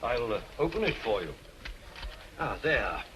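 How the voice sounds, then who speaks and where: An actor playing a proud, fussy museum curator with a pompous manner. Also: male voice, mid-range voice, big pitch swings, measured pace. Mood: self-assured. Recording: noisy old soundtrack.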